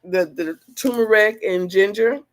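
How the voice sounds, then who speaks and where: A woman hosts a podcast in a casual, chatty way. Her voice sounds a little congested from the flu, and she talks with animated energy. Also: medium pitch, a little slow. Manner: chatty, animated storytelling with laughs. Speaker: podcast host.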